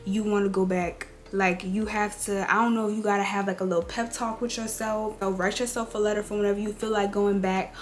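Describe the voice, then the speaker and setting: Hoarse young woman giving chatty advice. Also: medium pitch, little pitch movement, a little fast, few pauses, faint music behind. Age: 20s. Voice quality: raspy, rough. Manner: chatty, direct, addresses viewers as y'all and girl. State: hoarse from a cold. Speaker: beauty and lifestyle vlogger. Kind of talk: casual girl-talk advice.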